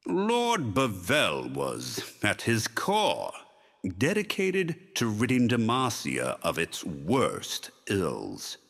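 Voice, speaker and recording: An actor playing a pompous, insincere zealot leader giving an oratorical eulogy in an audio drama, deliberate and unctuous, in long reverb. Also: male voice, lowish voice, wildly swooping pitch, creaky, a bit bright, full character voice. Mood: smug, oratorical.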